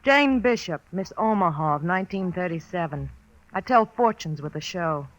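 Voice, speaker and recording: An actress playing a tough, streetwise young circus fortune teller in an old radio drama, curt and slangy. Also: female voice, fairly low pitch, lively intonation, normal speed, lightly acted, rough recording. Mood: hard-boiled, shrewd. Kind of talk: 1940s radio drama acting.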